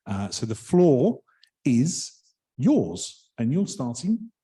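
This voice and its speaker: A man chairing a members' meeting in a hall, polite and hesitant with many pauses and ums. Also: medium pitch, sing-song, dark tone.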